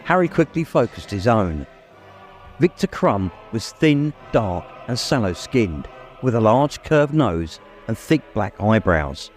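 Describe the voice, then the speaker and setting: A man reading a story aloud as dramatic scripted narration, expressive and suspenseful. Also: fairly low pitch, slow. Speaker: audiobook reader. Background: music, immersive production.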